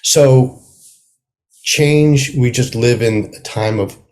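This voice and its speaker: Guy playwright chatting on a video call, mid pitch, thoughtful, keeps trailing off and starting over. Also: takes it slowly, a little mellow. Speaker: playwright, interview guest. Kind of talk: casual conversational interview.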